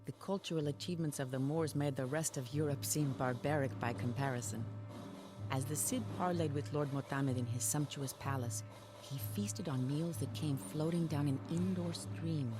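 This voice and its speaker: A narrator telling a historical tale for a video game in a formal, measured, dramatic storytelling manner. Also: mid-range voice, over music, close to an everyday voice.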